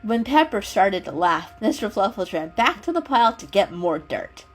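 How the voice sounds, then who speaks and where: A woman narrating a children's animal story as an expressive dramatic reading. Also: medium pitch, moderate pace. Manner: expressive, acts out the dialogue. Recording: music under the voice. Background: background music.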